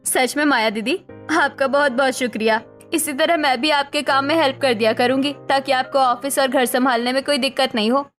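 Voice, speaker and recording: A young daughter-in-law in a cartoon family drama played by a woman. Her voice is extremely high, earnest and plaintive, with faint music behind. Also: fairly even intonation, brisk, few pauses, a bit bright. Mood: subdued, sincere.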